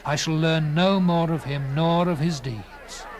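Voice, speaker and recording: A man reading a classic literary story in a dramatic first-person style, with theatrical, deliberate phrasing and swelling emphasis. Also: fairly high pitch, a crowd in the background. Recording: clearly noisy with background ambience.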